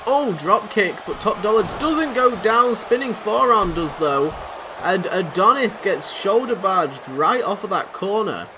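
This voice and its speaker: Excitable man calling a match. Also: sits high, measured pace. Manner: excitable, rambling, self-deprecating. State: losing his voice. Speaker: YouTuber commentating a wrestling video game. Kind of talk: play-by-play commentary. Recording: noisy background.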